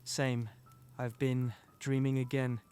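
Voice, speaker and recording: A man's voice: mid-range voice, level tone, slow pace, warm tone, slight background noise, close to an everyday voice. A man playing an earnest, weary teenage boy wizard in a fan audio drama, subdued and troubled.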